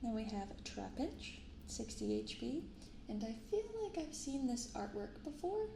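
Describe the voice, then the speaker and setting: A soft-spoken woman hosting an ASMR video, reading aloud and commenting casually in a gentle, quiet delivery. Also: a little high, very animated, measured pace. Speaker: host of a card-opening channel. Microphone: binaural microphone. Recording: roomy sound with some background noise.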